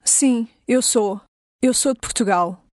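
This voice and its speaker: Woman saying lesson phrases slowly and clearly, higher voice, dry booth. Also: darkish tone, no echo. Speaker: native speaker in a language course. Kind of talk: modeling phrases for repetition. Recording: dry studio recording.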